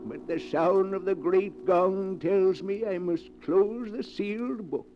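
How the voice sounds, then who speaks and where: Creepy old time radio horror host, really slow n dramatic, muffled old recording w music. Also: male voice, high-pitched, background noise. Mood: solemn. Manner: slow, theatrical, ominous. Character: sinister male keeper of a book of tales. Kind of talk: 1940s radio horror narration.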